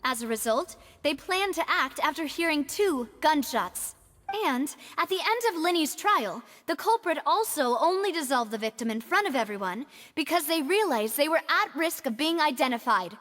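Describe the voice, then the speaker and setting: An actress playing a determined, earnest young woman in a video game, speaking deliberately like a detective making an accusation. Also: high-pitched, fairly bright tone. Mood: confident. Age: young adult. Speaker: voice actor in a game. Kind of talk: dramatic video game dialogue.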